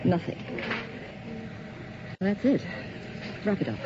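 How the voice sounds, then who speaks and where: An actress playing a brisk, unsentimental hospital doctor who gives curt commands in a 1980s radio drama. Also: female voice, mid-range voice, very animated. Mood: commanding. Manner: curt, commanding, matter-of-fact. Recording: muffled old radio recording with loud hiss.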